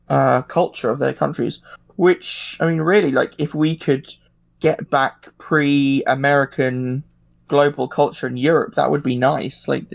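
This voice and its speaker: A man's voice: medium pitch, gravelly. A man in a casual discussion, speaking hesitantly and thinking aloud as he goes. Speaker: podcast regular.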